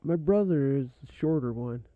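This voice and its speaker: A man's voice: on the high side, animated, moderate pace, no echo, natural voice. A man playing a young, earnest, plain-spoken scrapyard owner in an old time radio drama, in muffled phone-like sound. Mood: curious.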